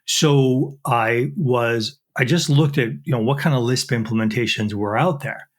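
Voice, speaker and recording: Thoughtful middle-aged man, halting explanations. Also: mid-range voice. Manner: thoughtful, frequent fillers and restarts. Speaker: guest, chemistry professor. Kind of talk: technical interview.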